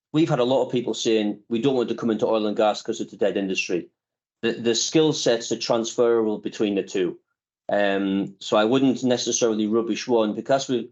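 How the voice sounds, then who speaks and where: Measured, reflective middle-aged man, low voice. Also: darkish tone, lo-fi sound. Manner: measured, reflective, many "um" pauses. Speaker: company chief executive guest. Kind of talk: podcast interview answers.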